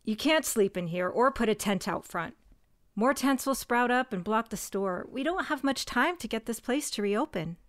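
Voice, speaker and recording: A woman's voice: a little high, animated, moderate pace. Woman, audio drama actor, stern, exasperated. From United States. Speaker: shop owner character.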